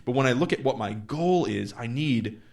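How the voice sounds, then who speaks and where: A man's voice: medium pitch, animated, moderate pace, gravelly, darkish tone. A man in his thirties casually explaining his investing goals, thinking aloud with fillers and acting out conversations with clients. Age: 30s. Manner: acts out dialogue with clients in quotes. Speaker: investing channel host.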